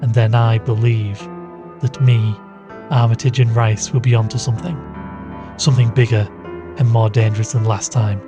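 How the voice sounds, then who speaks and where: Guy playing a serious investigator man telling his case in an audio drama, grave n deliberate, normal pitch, music playing behind. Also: fairly even intonation, muffled recording, natural voice. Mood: grave, apprehensive. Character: earnest male investigator recounting a dangerous occult case.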